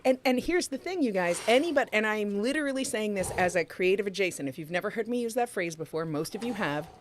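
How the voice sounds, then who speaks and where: A middle-aged woman co-hosting a podcast livestream, chatting warmly and emphatically with the audience. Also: mid-range voice, animated, quick pace, slight background noise. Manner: chatty, emphatic, talks to the chat room. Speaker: podcast co-host. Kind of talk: casual livestream chat.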